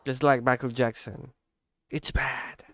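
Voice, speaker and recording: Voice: mid-range voice, flat delivery, slow, a bit bright, dry recording. A streamer giving casual, brief reaction commentary while playing a video game. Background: game music.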